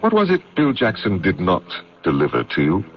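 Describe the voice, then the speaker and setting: An actor playing a wary, indignant magazine editor with formal, dryly challenging speech, in old-time radio drama style. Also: male voice, deep voice, huge pitch swings, normal speed, slight background noise. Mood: probing. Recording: dull lo-fi radio recording.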